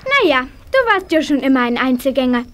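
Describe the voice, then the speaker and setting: A snippy young girl mocks a friend in a children's audio play. Her high voice has very lively intonation. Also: a little fast.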